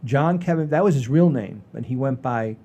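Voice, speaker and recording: An older man hosting a casual podcast, rambling in a folksy, halting way with repeats and the odd mild swear. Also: mid-range voice, expressive, dark tone, dull audio. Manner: folksy, mildly profane, halting and repetitive. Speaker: podcast host.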